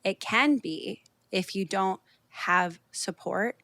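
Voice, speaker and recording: A woman's voice: medium pitch, takes it slowly, vocal fry, bright, forceful tone. A Canadian woman in her thirties, a podcast guest talking openly and earnestly, with lots of "you know" fillers. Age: 30s. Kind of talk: candid conversational interview.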